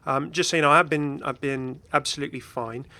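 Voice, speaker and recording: A man's voice: fairly high pitch, steady tone, brisk, pushed and bright. A man talking casually and unscripted as a gaming YouTuber, rambling chattily as he goes. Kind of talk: casual unscripted video commentary and channel update.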